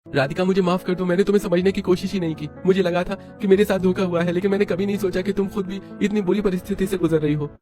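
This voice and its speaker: A man's voice: high-pitched, steady tone, fast talker, music in the background, voice pushed up, lightly acted. A man playing an earnest, reassuring young husband in a cartoon, remorseful and supportive.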